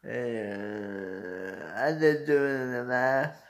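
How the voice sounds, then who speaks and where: A young man giving a casual sports recap to his phone at home, halting as he searches for words. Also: mid-range voice, steady tone. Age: young adult. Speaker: sports vlogger. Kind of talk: casual postgame basketball recap. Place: small indoor room at home. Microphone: phone at arm's length.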